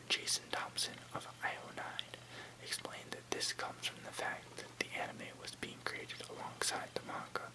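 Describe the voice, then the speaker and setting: A person whispering in a breathy, unvoiced voice, softly reading aloud in unhurried phrases as an ASMR host. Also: poor audio.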